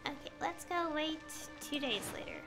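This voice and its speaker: A woman livestreaming a game, chatting casually and thinking aloud in a halting, rambling way. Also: high-pitched, animated, over music. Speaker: game streamer.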